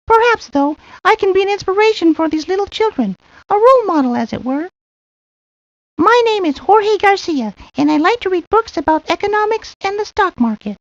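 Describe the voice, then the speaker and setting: Snobby rich little boy, very high. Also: dry recording. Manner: haughty, precise formal diction. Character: snobby rich nine-year-old boy, bossy and pompous. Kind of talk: video game character lines. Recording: dull lo-fi old game audio.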